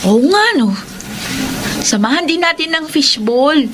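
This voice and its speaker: A woman's voice: sits high, animated, unhurried. A woman playing an earnest, caring teenage sister in a Filipino radio drama. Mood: pensive. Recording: noisy radio drama recording.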